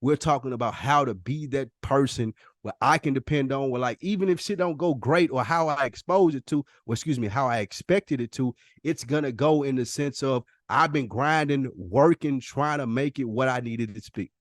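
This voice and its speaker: Earnest American man, lowish voice, close and dry. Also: normal speed, few pauses, no room sound. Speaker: podcast co-host. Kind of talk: casual conversational podcast talk.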